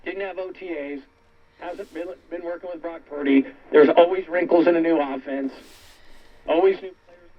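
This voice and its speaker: A man's voice: on the high side, normal speed, crowd noise. A man talking sports on the radio, heard through the muffled speaker of a vintage set.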